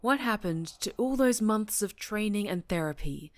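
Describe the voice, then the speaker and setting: A woman's voice: mid-range voice, expressive, no room sound, lightly acted. A woman playing an obsessive, domineering superhero captor in a dramatic ASMR roleplay, speaking with volatile, condescending menace. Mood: stern, condescending. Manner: slow, menacing, condescending, breaking into whispers and shouts.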